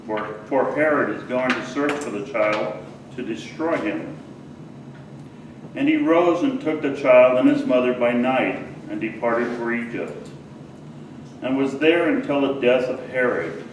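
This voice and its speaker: Male lay reader for scripture, medium pitch, measured and slightly halting, strong room echo, faint noise. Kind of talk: reading scripture aloud in church. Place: indoor church service. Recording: very echoey church, distant mic, faint noise.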